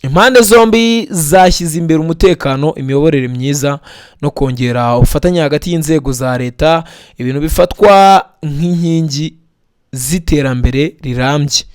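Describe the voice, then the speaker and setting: Earnest male news presenter, clear and smooth, dry close sound. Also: fairly high pitch, moderate pace, no room sound. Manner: earnest, blessing viewers and urging comments. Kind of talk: news narration and sign-off.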